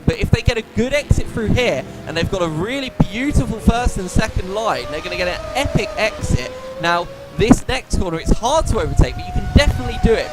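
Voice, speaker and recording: A man's voice: sits high, poor audio. A man commentating a sim race live, with a slightly nasal, posh-sounding voice and a chatty, explaining manner. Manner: animated, chatty, explains racing lines.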